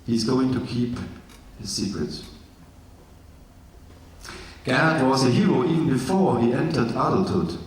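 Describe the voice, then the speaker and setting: A man from East Germany with a German accent, reading from his memoir in careful, slightly halting English with jokey asides. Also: mid-range voice, lively intonation. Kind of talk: live literary reading. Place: large event hall. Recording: echoey hall from far off.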